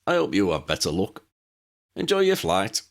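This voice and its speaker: A man's voice: sits low, wildly swooping pitch, moderate pace, full character voice. A man voicing an eccentric, chatty old aeroplane pilot in a storybook, jaunty, rambling and folksy. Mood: announcing.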